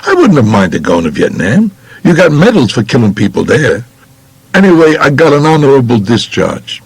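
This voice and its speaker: A man's voice: medium pitch, sing-song, moderate pace, slightly rough, no echo. An actor voicing a blunt, unrepentant mobster with a New York accent, recounting his life in slangy audiobook memoir. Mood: matter-of-fact. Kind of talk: first-person audiobook memoir, colloquial.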